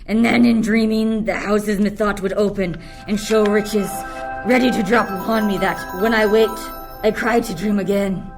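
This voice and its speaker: Resentful, dreamy monster servant played by a woman, medium pitch, plotting in Shakespearean radio play. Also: fairly even intonation, normal speed, over music, natural voice. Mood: dreamy, soothing. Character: resentful enslaved island monster, scheming yet dreamy. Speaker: student actor.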